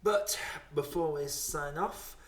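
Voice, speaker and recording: A man's voice: fairly high pitch, takes it slowly. A man hosting a podcast with casual chat about films. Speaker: podcast host. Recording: roomy, echoey sound.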